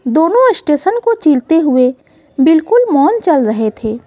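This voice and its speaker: A woman reads an emotional story expressively. Her delivery is slow and very lively in pitch, on a dull, lo-fi recording. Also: no room sound. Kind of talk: scripted emotional story narration in Hindi.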